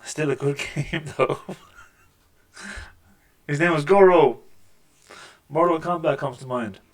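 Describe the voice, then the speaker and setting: A man with a deep voice hosting a casual let's-play, making wry, joking remarks over the gameplay at a desk microphone. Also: takes it slowly. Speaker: gaming channel host. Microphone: desk microphone with mixer.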